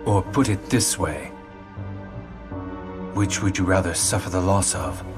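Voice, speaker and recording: A man voicing a cold, calculating senior member of an evil organization in a video game, speaking in a measured, cutting way. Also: deep voice, over music. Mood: cold, probing.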